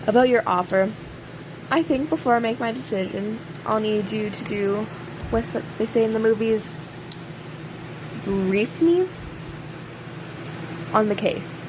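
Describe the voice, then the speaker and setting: Woman acting a slow-drawling, snarky jailed killer. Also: on the high side, close to an everyday voice. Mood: dry, impatient. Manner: sarcastic, drawling, teasing, with pointed pauses. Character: snarky, taunting imprisoned serial killer, cocky and sardonic. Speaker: voice actor in an audio roleplay. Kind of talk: audio roleplay acting, addressing an unheard listener. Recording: steady clear background hiss or hum.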